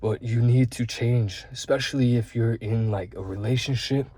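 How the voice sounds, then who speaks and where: A young man in his twenties talks straight to his viewers in a husky, mellow voice. He is earnest and rambling, leaning on fillers and curse words as he pushes his self-improvement message. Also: mid-range voice, moderate pace. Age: 20s. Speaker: vlogger. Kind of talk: candid self-improvement talk to camera.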